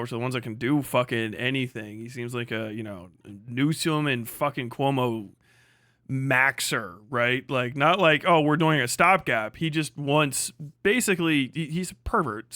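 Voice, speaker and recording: A man's voice: medium pitch, sharp tone, dry recording, clean studio sound. A man hosting a livestream, giving casual off-the-cuff commentary in a blunt, scornful, heavily profane way. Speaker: livestream host and political commentator.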